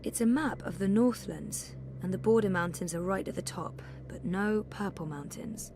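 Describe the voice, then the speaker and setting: A woman's voice: medium pitch, moderate pace, background noise, close to an everyday voice. An actress playing a guarded, curious, level-headed young woman adventurer in a video game, speaking thoughtfully and with understatement. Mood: matter-of-fact. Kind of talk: video game dialogue acting.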